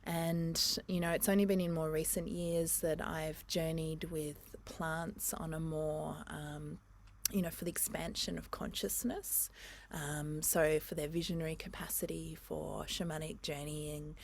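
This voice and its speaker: A woman hosting a conversation, speaking gently and hesitantly with soft fillers. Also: low-pitched, flat delivery, measured pace, gravelly, a little hiss or hum. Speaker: host. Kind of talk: reflective casual talk.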